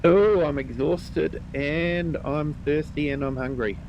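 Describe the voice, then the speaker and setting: A man with a New Zealand accent talks over a live game stream at an unhurried pace. He sounds relaxed and friendly, with plenty of ums, and his voice is a touch croaky from a recent cold. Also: fairly high pitch, highs cut off, a little hiss or hum. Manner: relaxed, chatty, greets viewers by name, many ums. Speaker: livestream host. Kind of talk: live gameplay commentary.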